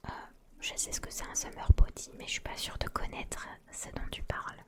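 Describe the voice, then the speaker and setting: A woman hosting a casual ASMR live chat, speaking softly and intimately to viewers in a breathy whisper. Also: a little fast. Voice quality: breathy, mostly unvoiced whisper. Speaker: ASMR streamer, host.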